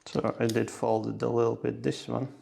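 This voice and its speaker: A man's voice: mid-range voice, flat delivery, moderate pace, gravelly, mellow. A man talking casually through a hands-on tutorial step by step, with non-native English phrasing. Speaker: host of a motorcycle gear how-to video.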